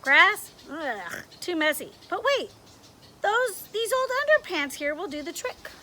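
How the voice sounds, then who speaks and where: A woman's voice: very high voice, very animated, measured pace, pushed and bright, slight background noise. A woman reading a picture book aloud outdoors for children, expressively, often stopping to ask them questions. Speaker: story reader for young children.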